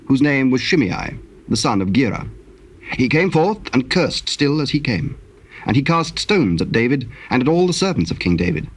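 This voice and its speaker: A man narrating scripture as a solemn, scripted story on a noisy old tape. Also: mid-range voice.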